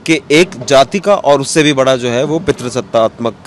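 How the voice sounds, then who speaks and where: A man's voice: mid-range voice, normal speed. A man in his thirties giving reflective interview answers outdoors, dropping English words into his speech. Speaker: cartoonist, interview guest. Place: outdoors on a bench in a courtyard. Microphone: interviewer's handheld microphone. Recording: outdoor street noise, traffic behind.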